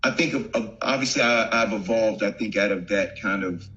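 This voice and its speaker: Man, 30s, low pitch, softened Southern accent, relaxed, filler-heavy chat, dull video-call sound. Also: moderate pace. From Virginia. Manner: relaxed, laughs often, many fillers and restarts. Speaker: actor and former football player, guest. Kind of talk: casual interview chat. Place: home, remote video call.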